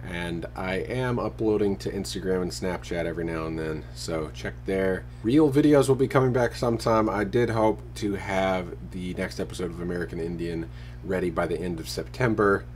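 A man in his thirties talking casually to a handheld camera at arm's length, relaxed and rambling. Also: sits low, moderate pace, slight background noise. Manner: relaxed, rambling, self-deprecating. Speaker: vlogger. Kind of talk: casual vlog talk to camera. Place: porch and living room of a house, then dark room.

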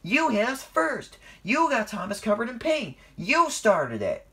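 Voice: mid-range voice, huge pitch swings, measured pace, a bit bright, voice pushed up, full character voice. A narrator voicing a plucky, indignant little male steam engine who retorts defiantly in a children's story.

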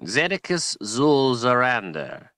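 A man's voice: medium pitch, big pitch swings, takes it slowly, fairly bright tone, no echo, clean studio sound, pitched above the performer's own voice. A man voicing an old male wizard who speaks in a stiff, dignified way. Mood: defiant.

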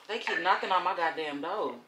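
A woman's voice: on the low side, measured pace, pushed and bright, slight background noise, low-quality recording. A young adult woman, chatting casually and gushingly to the camera in a travel vlog. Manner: gushing, chatty, addresses viewers as y'all. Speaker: travel vlogger.

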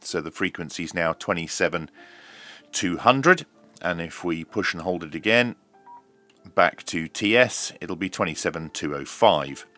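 A middle-aged man giving a measured, explanatory hands-on demonstration, like a reviewer talking through each step. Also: deep voice, animated, pushed and bright, faint music behind. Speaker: radio reviewer.

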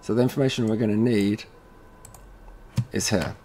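Low-voiced man giving an informal software walkthrough. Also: steady tone, normal speed. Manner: casual, thinking aloud step by step. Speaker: tutorial presenter. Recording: noisy room with keyboard typing.

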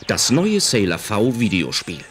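Female narrator, very low voice, lively intonation, scripted audio-drama storytelling, faint music behind. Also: measured pace. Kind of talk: scripted radio play narration.

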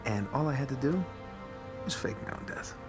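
An actor playing an earnest American adventurer in his thirties in a video game, speaking informally with halting stammers. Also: medium pitch, animated, music in the background, close to an everyday voice. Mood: sheepish. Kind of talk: naturalistic cinematic game acting.

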